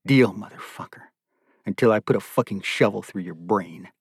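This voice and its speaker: A man's voice: fairly low pitch, normal speed, breathy edge, no echo, studio quality. A man voicing a desperate captive father in an audiobook, weary and pleading yet bitterly defiant. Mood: seething. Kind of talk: audiobook character dialogue.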